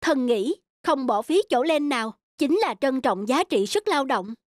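A woman's voice: high voice, animated, a little slow, dry recording, clean studio sound. A woman voicing a kind, principled female angel of light in a cartoon, speaking in an earnest, formal way. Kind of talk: dubbed cartoon acting.

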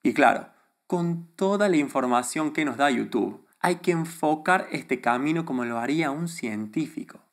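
Lively Argentine man giving advice. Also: fairly high pitch, normal speed. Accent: neutralized Argentine Spanish. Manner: confident, emphatic, gestures a lot with his hands. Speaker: YouTube creator. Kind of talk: direct-to-camera advice talk. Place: small studio room before a plain grey backdrop. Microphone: desk microphone in front of him.

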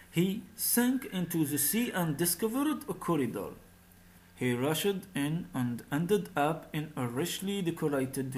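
A man narrating a scripted folk tale as a storyteller, reading aloud in a slightly halting way. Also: a little high, poor audio.

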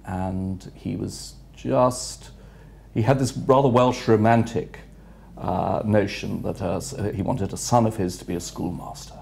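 A retired headmaster in his later years answers questions in a measured, unhurried way. His voice is low, mellow and gravelly. He is recorded on a lapel mic in an office room. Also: a little hiss or hum. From England. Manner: measured, reflective, unhurried with frequent pauses. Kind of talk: interview answers. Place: office room with city view window.

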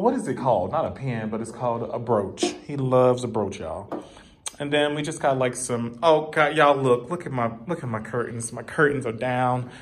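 A man's voice: medium pitch, noisy background. A man in his thirties, stuffed up and nasal, chatting to viewers as he films a casual tour of his home while it is being packed up. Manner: chatty, emotional, addresses viewers as y'all. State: nasal congestion. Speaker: vlogger. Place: house being packed up, indoors. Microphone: handheld phone camera.

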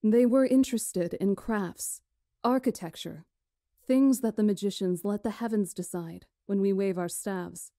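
A woman playing a stately magician in a visual novel, recounting history in a measured, formal, solemn way. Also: mid-range voice, dark tone, no echo, studio quality, close to an everyday voice. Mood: solemn, proud. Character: stately female magician elder recounting ancient history to lords. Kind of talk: visual novel character acting, storytelling.

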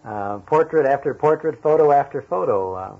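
A man's voice: medium pitch, lively intonation, moderate pace, gravelly. A man interviewing guests conversationally, with hesitant, roundabout phrasing. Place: in a guest's home. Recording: dull lo-fi tape recording.

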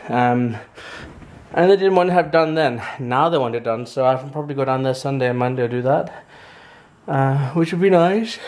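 A man's voice: fairly high pitch, darkish tone. A man in his thirties from Denmark, chatting casually into a handheld camera with a rambling manner and frequent laughs. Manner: chatty, rambling, laughs often, baby-talks to his dog. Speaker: vlogger. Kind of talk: casual daily vlog. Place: outdoors on a windy beach, partly at home. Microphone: handheld camera at arm's length. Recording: wind noise on camera mic.

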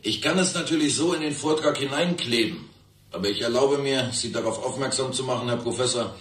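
An actor playing a radio editor in a radio drama, polite, dry and precise with a needling edge. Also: male voice, medium pitch, brisk, fairly bright tone, roomy sound, recorded from a distance, close to an everyday voice. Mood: dryly polite.

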